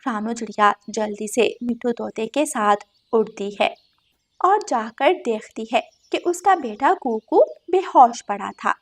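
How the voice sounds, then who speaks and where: High-voiced woman narrating a children's story. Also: lively intonation, measured pace.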